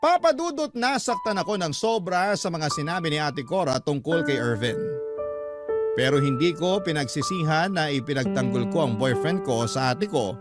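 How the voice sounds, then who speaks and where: A man's voice: fairly high pitch, animated, moderate pace. A man hosting a radio story show, narrating a scripted first-person listener letter. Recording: loud music under the voice. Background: loud background music.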